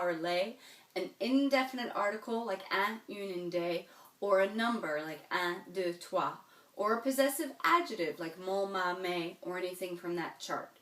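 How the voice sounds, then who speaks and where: A woman's voice: medium pitch, fairly bright tone. A woman teaching a French grammar lesson, explaining patiently step by step and often checking in with "okay?". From United States. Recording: echoey room, voice from a distance.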